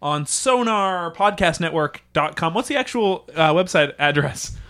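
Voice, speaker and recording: A man hosting a comedy podcast, playful and quick to laugh. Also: on the high side, lively intonation, a bit bright, clear, resonant tone. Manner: playful, giggly interviewer. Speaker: podcast host.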